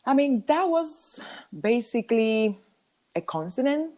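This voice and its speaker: A woman in her thirties with a Cuban Spanish accent, a native Spanish speaker, telling her story as a podcast guest with lively ums and laughs. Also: a little high, measured pace. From Cuba. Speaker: podcast guest, hair colorist. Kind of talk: casual interview talk.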